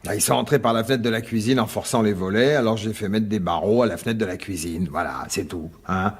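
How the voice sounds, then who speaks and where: An actor playing a weary, placating husband in a radio mystery drama, colloquial and sighing, coaxing yet dismissive. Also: male voice, lowish voice, fast talker, breathy edge. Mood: defensive.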